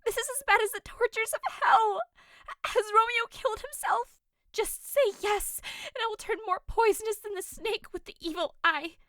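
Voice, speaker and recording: Woman doing a distraught young girl in a shakespeare audio play, super high bright voice, really dramatic. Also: normal speed, no room sound, clean studio sound. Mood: distraught, demanding. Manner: theatrical, impassioned declaiming. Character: passionate teenage girl, newlywed noblewoman, desperate and grieving.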